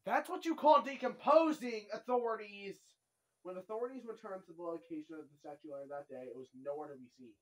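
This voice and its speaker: An excitable reaction host who reads on-screen text aloud and comments on it, often exclaiming and repeating phrases. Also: medium pitch, a little fast, poor audio. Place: small dark room at home, indoors.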